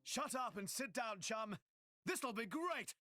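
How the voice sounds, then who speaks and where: A man's voice: very high voice, animated, slow, sharp tone, dry recording, studio quality, heavily acted. A British man playing a brash, showy exiled prince who flusters easily, in a video game cutscene. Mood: excited. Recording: music behind the voice.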